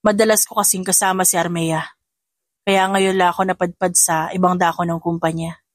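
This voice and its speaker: Woman playing a courteous older male executive, slightly lowered. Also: level tone, a little fast, dry recording, clean studio sound, close to an everyday voice. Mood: gentle, pensive. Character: calm older male company CEO, courteous, pensive.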